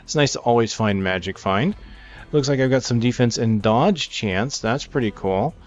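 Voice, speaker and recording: A man's voice: mid-range voice, moderate pace, highs cut off. A man giving casual gameplay commentary, thinking aloud as he plays. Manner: thinks aloud while playing. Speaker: gameplay video host. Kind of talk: casual video game commentary. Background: game music behind the voice.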